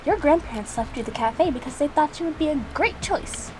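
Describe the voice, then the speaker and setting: A woman's voice: high voice, expressive, brisk, noisy background, pitched above the performer's own voice. A woman voicing a polite, chipper cafe worker in a visual novel, explaining things kindly. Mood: chipper, helpful. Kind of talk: visual novel character reading. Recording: music behind the voice.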